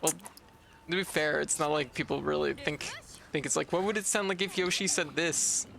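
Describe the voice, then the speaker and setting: Gravelly man streamer, joking. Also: fairly high pitch, steady tone, moderate pace, a bit bright, a little hiss or hum. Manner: joking, playful teasing. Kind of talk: casual chat while gaming. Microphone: streaming microphone.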